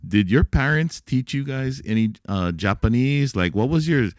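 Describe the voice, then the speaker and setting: A man's voice: mid-range voice, expressive, moderate pace, dry recording, studio quality. A man from Hawaii hosting a podcast, asking questions in a friendly, chatty way. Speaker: podcast host. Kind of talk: casual interview.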